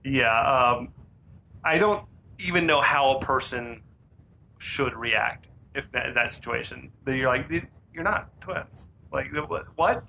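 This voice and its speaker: A man's voice: medium pitch, unhurried, creaky, bright, forceful tone, slight background noise, rough recording. A man co-hosting a podcast, casually retelling film scenes and acting out quoted lines. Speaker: podcast co-host. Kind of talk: casual movie recap chat.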